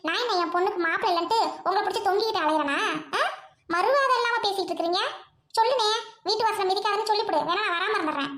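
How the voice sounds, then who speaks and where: Woman doing a shrill quarrelsome woman. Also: rapid-fire, fairly bright tone, reverberant. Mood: angry, taunting. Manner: scolding, taunting, repeats demands to answer. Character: quarrelsome village woman, sharp-tongued sister.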